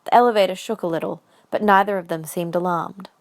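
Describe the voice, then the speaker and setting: A woman's voice: lowish voice, fast talker. A woman reading scripted narration for a fan-made audio drama.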